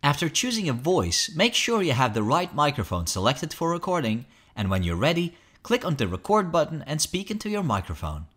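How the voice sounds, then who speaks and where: Man narrating a tutorial with lively intonation. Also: on the high side, a little fast, hardly any pauses. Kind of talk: scripted promotional tutorial narration.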